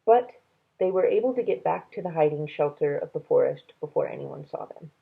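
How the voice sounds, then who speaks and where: A woman reading a children's story aloud in an expressive, unhurried way, as if to young listeners. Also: sits low, gravelly, husky, narrow, tinny audio. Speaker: read-aloud reader.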